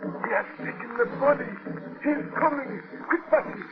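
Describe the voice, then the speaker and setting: An actor playing a solemn, menacing old Haitian witch doctor in 1940s radio horror, with slow incantatory delivery. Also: extremely high pitch. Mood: solemn, fervent. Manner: slow incantatory chanting, ominous. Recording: dull vintage radio recording.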